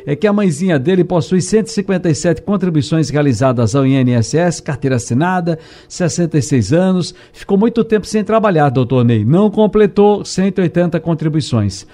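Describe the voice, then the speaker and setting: A man hosting a radio call-in show, friendly and brisk, reading listeners' questions and greeting callers. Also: on the high side, lively intonation, dark tone. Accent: Brazilian Portuguese.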